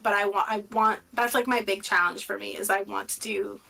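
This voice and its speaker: A woman's voice: medium pitch, steady tone, fairly quick, vocal fry, bright, forceful tone. A woman from Latin America, a guest in a casual interview, talking candidly and reflectively with many filler words.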